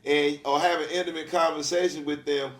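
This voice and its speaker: A man's voice: fairly high pitch, steady tone. Earnest, rambling man in an echoey room. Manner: rambling, halting restarts, earnest. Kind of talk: casual podcast conversation.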